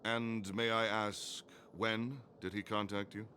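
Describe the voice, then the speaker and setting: An actor playing a formal, earnest guard captain with a halting manner in a video game. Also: male voice, fairly low pitch, flat delivery, bright, forceful tone, slight background noise. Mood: firm, regretful. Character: dutiful, formal male guard captain, soft on an old bandmate. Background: game music.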